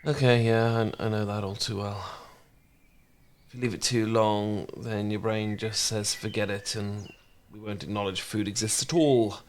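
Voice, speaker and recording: Man acting gentle, low-voiced caring housemate. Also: flat delivery, slight background noise, lightly acted. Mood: gentle concern. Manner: soft, reassuring, unhurried conversational delivery. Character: gentle, caring male housemate, shyly fond and easygoing. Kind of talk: intimate ASMR romance roleplay.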